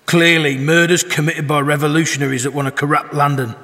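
A man acts a grave Victorian chief inspector in an audio drama. His forceful, fairly high voice declaims in defeat, echoing with long hall reverb. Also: fairly even intonation, brisk. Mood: solemn, defeated. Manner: solemn, declamatory. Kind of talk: audio drama acting, public speech.

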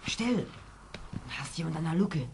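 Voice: mid-range voice, animated, normal speed. A performer playing an earnest, questioning teenage orphan boy in a German children's radio play. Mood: tense. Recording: clear background noise on old record.

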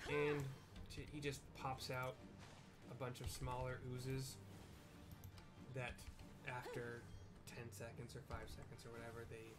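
A middle-aged man casually describing what happens in a video game as he plays, in a halting, conversational way. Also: mid-range voice. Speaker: game streamer, narrator. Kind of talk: casual play-by-play with audio description of gameplay. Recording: loud game music behind voice. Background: loud game music.

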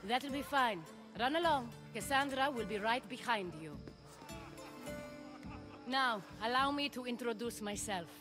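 An actress playing a shrewd noblewoman of ancient Athens in a game, measured and discreet in her delivery. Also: on the high side, natural voice. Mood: poised. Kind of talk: video game cutscene acting. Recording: music under the voice.

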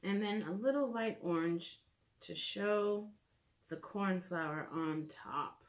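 A woman in her thirties, a children's teacher, talks gently and simply through a drawing lesson, thinking aloud, recorded in a living room by a camera a few feet away. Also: lowish voice, slow pace. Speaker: children's art and reading teacher. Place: living room at home, indoors.

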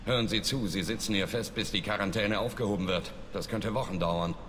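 An actor playing a resolute, commanding space soldier in a video game, speaking firmly. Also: male voice, fairly low pitch, a little fast, a bit bright, close to an everyday voice. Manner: firm, assured. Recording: reverberant game scene, faint noise.

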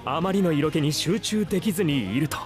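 A smitten young anime housekeeper, acted by a man, narrating his crush expressively. The voice races along on the higher side with lively swings, over music. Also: racing, natural voice. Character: smitten young male college student housekeeper. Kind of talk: anime trailer narration and dialogue.

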